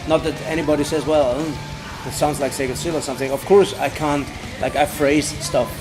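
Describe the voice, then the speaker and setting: A man's voice: a little high, steady tone. German guy, singer chatting in an interview, lots of uh and restarts, music going behind him. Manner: halting, many fillers and restarts. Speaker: band singer. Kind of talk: casual interview chat.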